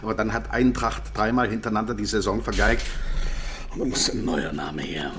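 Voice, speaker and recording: A man playing a gruff, wry, overworked cook in a children's radio play, brusque and teasing. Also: on the low side, brisk, background noise, close to an everyday voice. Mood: gruff, impatient.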